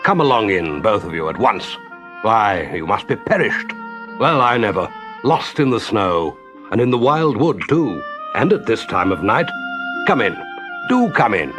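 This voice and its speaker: A man's voice: on the low side, big pitch swings, unhurried, music in the background. A man voicing a kindly, blustery badger in a children's story, exclaiming and hospitable. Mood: warm, astonished. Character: kindly sleepy older male badger, gruff then welcoming.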